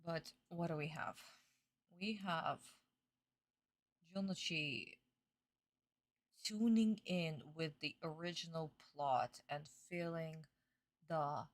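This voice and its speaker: A woman's voice: sits low, slow, long pauses, dry recording. A young woman streaming a live reaction, talking in an exasperated, sarcastic way with plenty of swearing.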